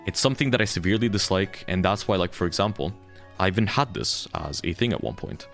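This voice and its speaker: Deep-voiced man in a frank rant. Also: animated, fast talker, vocal fry, a bit bright, soft background music. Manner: frank and heated, swears freely. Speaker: VTuber streamer. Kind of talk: candid ranting monologue.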